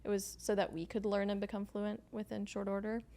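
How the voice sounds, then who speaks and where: Gravelly-voiced Swedish-born woman, hesitant. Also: medium pitch, little pitch movement, fairly quick, dark tone. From Sweden. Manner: thoughtful, hesitant, many ums and likes, laughs often. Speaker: podcast guest. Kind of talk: casual conversational interview.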